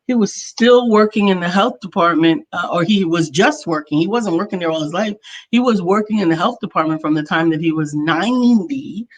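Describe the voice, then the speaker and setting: A woman's voice: lowish voice, brisk. American woman in her fifties, casual co-host. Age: 50s. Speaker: talk show co-host, coach. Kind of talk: casual conversational talk.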